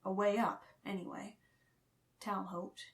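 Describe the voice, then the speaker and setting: A woman's voice: mid-range voice, little pitch movement, slow. A woman reading fiction as an audiobook narrator, in a scripted, dramatic storytelling style.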